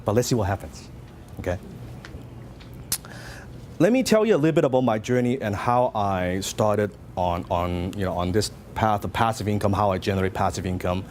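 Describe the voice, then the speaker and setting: A man's voice: on the low side, normal speed, a little mellow, slight background noise. A middle-aged man from Hong Kong with a Hong Kong Cantonese accent, giving a motivational seminar talk and telling his story with lots of questions to the audience. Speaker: business speaker.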